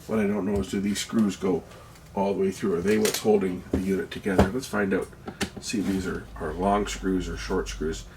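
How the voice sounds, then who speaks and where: A man with a gravelly voice giving casual, hands-on electronics commentary, musing aloud and asking himself questions as a video host. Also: low-pitched, lively intonation, normal speed, heavy background noise.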